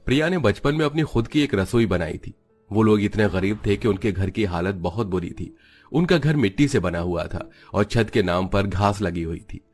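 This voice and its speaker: Low-pitched male story narrator. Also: animated, normal speed, darkish tone, breathy edge, faint music behind. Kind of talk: scripted children's story narration.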